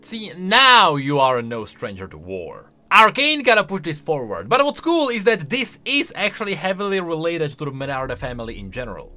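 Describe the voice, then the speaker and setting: Male game commentator, slightly high bright voice, wry and opinionated, casual reaction style. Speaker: video game lore commentator.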